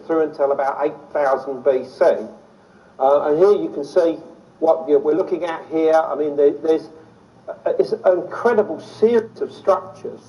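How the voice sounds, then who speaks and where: London guy giving an excited talk, kinda mellow higher voice, bit far from the mic. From London, England. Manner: enthusiastic, easily excited. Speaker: lecturer on ancient sites. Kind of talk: illustrated public lecture. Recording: voice distant from the mic.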